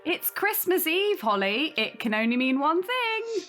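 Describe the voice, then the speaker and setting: An actress playing a warm, hospitable young aunt, chatty and gently teasing, in a naturalistic audio drama. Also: female voice, very high-pitched, sing-song, a little slow, soft background music, full character voice. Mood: playful, warm.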